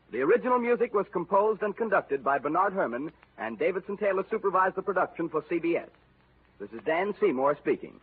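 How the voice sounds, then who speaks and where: A man reads the closing credits in formal, declamatory old-time radio style. His voice is high and breathy. It comes over a tinny 1930s broadcast line with faint music behind. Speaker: network announcer. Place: broadcast studio.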